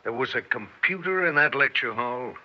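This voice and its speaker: An actor playing a brisk, skeptical police investigator in an old-time radio drama, asking blunt, probing questions. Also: male voice, mid-range voice, expressive, close to an everyday voice. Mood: impatient, probing. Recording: dull, band-limited old radio recording.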